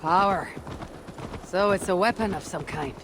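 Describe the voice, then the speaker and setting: A woman's voice: fairly low pitch, a little slow. A proud Viking warrior woman leading her clan, in a video game cutscene. She is blunt, dry and skeptical, with little patience. A faint bed of outdoor noise and hoofbeats lies behind her. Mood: probing, scornful.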